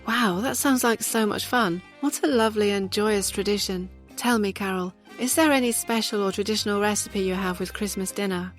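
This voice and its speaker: A woman with a mid-range voice hosts the podcast and asks her guest questions in an upbeat way. Her lines sound scripted, and soft music plays behind her. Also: medium pitch, normal speed, few pauses. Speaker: podcast host. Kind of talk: scripted podcast hosting with an ad read.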